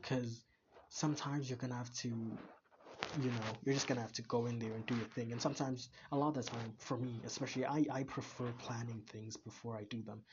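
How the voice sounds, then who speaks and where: A man's voice: medium pitch, fairly even intonation, a little fast, lo-fi sound, noisy background. A man reacting to game voice lines, thinking aloud and often repeating words as he searches for them. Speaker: video reactor. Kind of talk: casual commentary.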